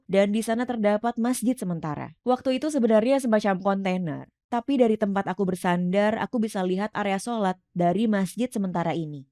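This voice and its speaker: A woman's voice: medium pitch, dark tone, no echo, clean studio sound. A middle-aged woman from East London, telling her life story in an earnest, reflective interview. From East London, England. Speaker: interview guest. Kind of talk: personal testimony in an interview.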